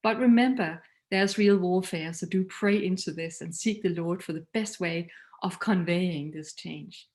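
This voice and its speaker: A woman from Denmark with a Danish accent, a mission leader giving a prepared talk in an earnest, measured way that trails off softly at phrase ends. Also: on the low side.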